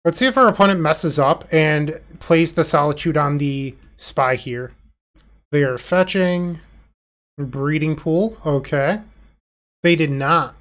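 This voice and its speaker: Congested man narrating a card game. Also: on the high side. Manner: self-deprecating, thinking aloud. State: congested, nasal from illness. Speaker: gameplay commentator. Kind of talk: casual play-by-play commentary.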